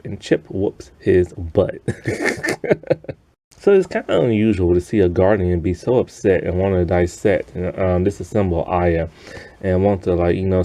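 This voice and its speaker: A man giving a casual, rambling spoken review of an animated series in a conversational, easily amused way. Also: low-pitched, gravelly.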